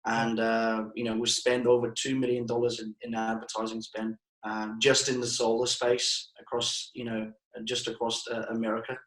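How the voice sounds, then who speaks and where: A young man from Bulgaria chats in a monotone, stumbling over his words with plenty of "um"s and restarts. His voice rings a little in an echoey room. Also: mid-range voice, normal speed, few pauses. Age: 20s. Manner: hesitant, many restarts and fillers. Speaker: marketing agency guest. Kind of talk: casual podcast interview.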